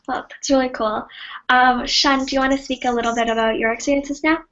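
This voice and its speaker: A woman's voice: sits high, fairly even intonation, brisk, fairly bright tone. A woman from Canada moderating a webinar panel on a video call, friendly and upbeat. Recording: dull lo-fi webinar audio.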